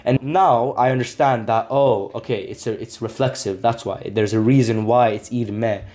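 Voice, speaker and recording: A man's voice: medium pitch, normal speed, darkish tone. A young man, a language-learning video maker, talking straight to camera in a casual, earnest way, leaning on "like" and "right?". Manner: earnest, repetitive, lots of "like" and "right?". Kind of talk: casual explainer vlog.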